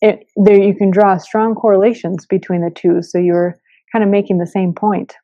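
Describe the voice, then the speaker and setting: Female podcast host, conversational delivery, mellow tone, muffled and roomy recording. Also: mid-range voice, fairly even intonation, moderate pace. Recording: dull, echoey room sound.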